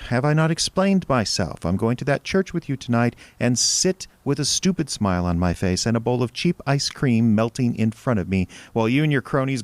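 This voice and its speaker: A man's voice: mid-range voice, no echo, close to an everyday voice. An American man playing a bitter, defensive middle-aged husband and father who drinks, sarcastic and overly proper, in a naturalistic audio drama.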